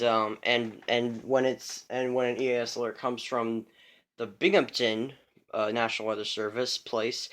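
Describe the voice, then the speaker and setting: Rambling man showing his radio collection. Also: mid-range voice. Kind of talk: casual show-and-tell vlog. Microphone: phone camera.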